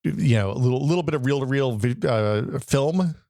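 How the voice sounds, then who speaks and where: A slightly hoarse man co-hosting a tech podcast, musing aloud in halting questions full of restarts. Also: mid-range voice, lively intonation, warm tone, dry recording, studio quality. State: a little hoarse. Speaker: podcast co-host. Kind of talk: casual tech chat.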